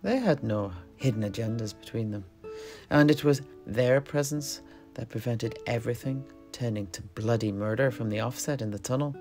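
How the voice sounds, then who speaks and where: Genial alchemist truthsayer for fantasy audio lore male performer, gravelly edge, exclaiming and hesitant, faint music underneath. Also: medium pitch, normal speed, darkish tone, lightly acted. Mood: storytelling. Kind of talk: in-character fantasy lore storytelling.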